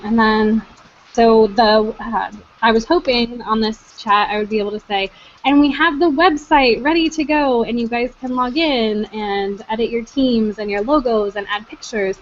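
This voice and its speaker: A woman's voice: on the high side, slight background noise. A woman coordinator hosting an online group meeting, talking casually with many ums and uhs. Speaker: network coordinator, meeting host. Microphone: video call. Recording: dull lo-fi video call audio.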